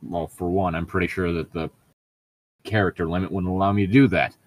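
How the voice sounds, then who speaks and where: A man's voice: low-pitched, steady tone, a little fast. A man co-hosting a podcast in casual banter, with a sardonic, sweary way of talking. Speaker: podcast co-host.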